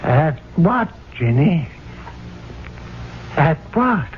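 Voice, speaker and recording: A bewildered middle-aged father in an old radio drama. He speaks extremely slowly and haltingly, anxious and ponderous. The recording is thin and phone-like with faint hiss. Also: on the high side, very animated, exaggerated voice. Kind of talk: 1970s radio drama acting.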